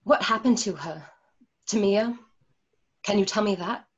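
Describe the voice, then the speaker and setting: A woman playing a calm, guarded healer in a radio drama, speaking in a measured, solemn way. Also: medium pitch, breathy edge, muffled recording. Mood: questioning. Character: calm, guarded female healer nicknamed Delicate Poison. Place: remote session on video call at home.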